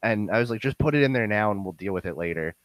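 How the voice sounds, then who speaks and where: A young man hosting a casual podcast with a hoarse, worn-out voice that sounds nasal and bassy, rambling with lots of fillers. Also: expressive, quick pace, no room sound. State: hoarse, voice going. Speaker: podcast host.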